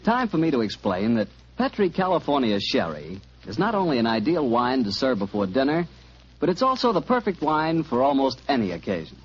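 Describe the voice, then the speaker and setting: A man announcing on 1940s radio as host, delivering a polished sales pitch. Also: wildly swooping pitch, fairly quick, husky. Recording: dull 1940s radio broadcast recording.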